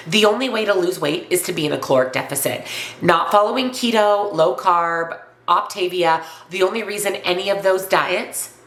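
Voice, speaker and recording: Woman, somewhat low voice, congested and slightly raspy from a cold, emphatic coach, upbeat instructional vlog, roomy echo. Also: a bit bright. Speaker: weight loss and nutrition coach presenting to viewers.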